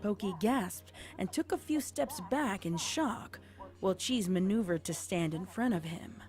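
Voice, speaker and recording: A woman's voice: medium pitch, lively intonation, a little slow, a little mellow, soft background music. A woman reading scripted story narration as the narrator of an audio tale.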